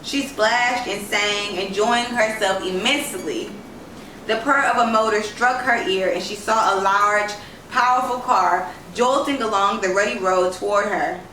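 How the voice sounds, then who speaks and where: A woman reading a literary story aloud as an expressive storyteller. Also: mid-range voice, measured pace. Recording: roomy, distant, faint background noise.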